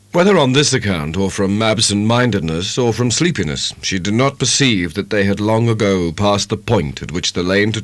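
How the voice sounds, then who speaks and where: Expressive man reading classic fiction. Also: fairly low pitch, no echo. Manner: measured, literary storytelling. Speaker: audiobook narrator. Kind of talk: dramatic scripted narration of a classic novel.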